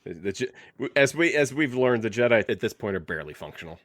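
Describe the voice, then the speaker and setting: A man co-hosts a podcast in a casual, wry way, leaning on phrases for emphasis. His pitch moves around a lot, and the recording is tight and dry. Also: animated, fast, a bit bright, no echo. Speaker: podcast co-host. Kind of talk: casual chat.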